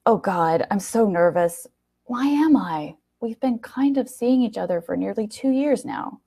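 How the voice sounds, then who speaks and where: An earnest young man in love, gushing and nervous, as voiced by a woman story reader. The voice is mellow and full of fry, in the manner of storybook dialogue. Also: mid-range voice, lively intonation, a little slow, voice pushed up. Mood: nervous, smitten.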